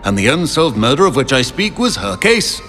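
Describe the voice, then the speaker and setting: A man's voice: mid-range voice, very animated, moderate pace, sharp tone. An actor playing a pompous, courtly, nostalgic old British statesman living on as a portrait in a video game. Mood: grand, courteous. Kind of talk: video game character acting. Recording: long reverberant hall, music underneath.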